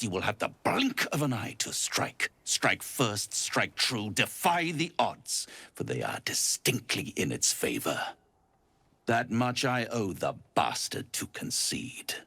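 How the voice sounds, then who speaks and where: An actor playing a suave, smug, silver-tongued devil in a fantasy game, pompous and florid, savouring each phrase. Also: male voice, low-pitched, creaky, fairly bright tone, breathy edge, no room sound, heavily acted. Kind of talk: theatrical fantasy game acting, rhyming and grandiose.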